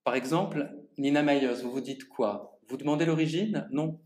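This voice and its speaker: A man reading his own first-person monologue aloud at a public reading in an art gallery, speaking to the audience in a measured, theatrical way. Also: medium pitch, a little mellow. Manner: measured, theatrical delivery addressing the audience directly. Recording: echoey gallery room.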